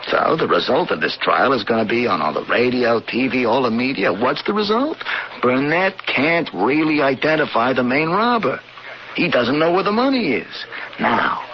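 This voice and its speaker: A man's voice: medium pitch, natural voice. A man playing a tough, slangy American police lieutenant in a 1980s radio drama, talking in colloquial, hard-boiled fashion. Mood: determined. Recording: muffled, noisy 1980s radio broadcast.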